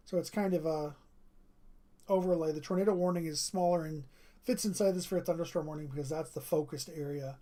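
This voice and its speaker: Live weather streamer, strained voice, clears throat often, steady radar commentary, mid pitch. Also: fairly quick. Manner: steady running commentary through radar maps. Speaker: weather livestreamer.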